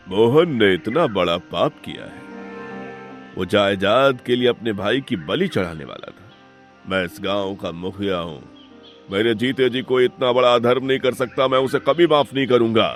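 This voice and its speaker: A man's voice: medium pitch, moderate pace, soft background music, close to an everyday voice. Casting: male actor for authoritative fatherly headman, emphatic and righteous, cartoon village melodrama. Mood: outraged, stern. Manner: commanding, emphatic, solemn. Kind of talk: melodramatic cartoon moral-story acting.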